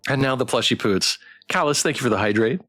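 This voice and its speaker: A man hosting a casual game livestream, reading text aloud and chatting with playful, expressive reactions. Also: mid-range voice, fast, faint music behind. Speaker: game streamer and host.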